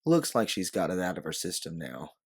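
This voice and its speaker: A man's voice: low-pitched, sing-song, fairly quick, slightly rough, full character voice. A man voicing an exasperated, commanding woman time traveller in a story. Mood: urgent.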